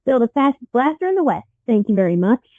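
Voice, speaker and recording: A woman's voice: a little high, big pitch swings, fast talker, phone-quality sound. A woman voicing an earnest, aggrieved young teen boy hero in a fantasy audio drama, emotional and a little whiny. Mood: cocky.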